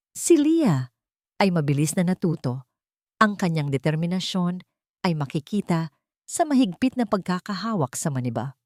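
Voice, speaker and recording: A woman with a Filipino accent giving a measured, expressive narration of a scripted romantic audio drama. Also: lowish voice, no echo, studio quality.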